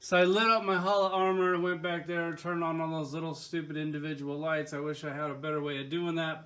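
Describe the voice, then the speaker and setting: A man's voice: on the high side, a bit bright. A man casually reviewing toys from his collection, enthusiastic and rambling, with lots of filler words. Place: at home by his collection shelves.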